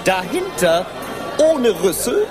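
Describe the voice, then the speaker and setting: Radio play casting: showy riddling jester, high and slow, sing-song rhymes over music. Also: female voice, sing-song, crowd noise. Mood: mischievous, showy. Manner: theatrical, sing-song declamation. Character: playful court jester posing rhymed riddles. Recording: music behind.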